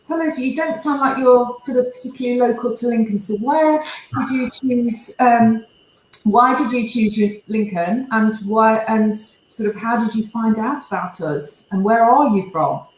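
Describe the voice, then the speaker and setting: A woman hosting a live stream, chatty and warm to her guest, often hedging with "sort of". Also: a little high, a little slow, roomy sound, slight background noise, low-quality recording. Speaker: host.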